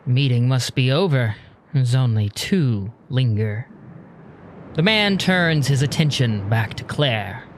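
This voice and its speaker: A man narrating a scripted audio drama in a measured, descriptive manner. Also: medium pitch, animated, slight background noise. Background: music.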